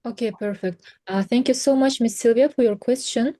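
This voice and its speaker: A woman from Kazakhstan, a seminar panelist on a video call, answering a question earnestly and pausing often to find her words. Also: a little high, mellow. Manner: earnest, searching for words with many fillers.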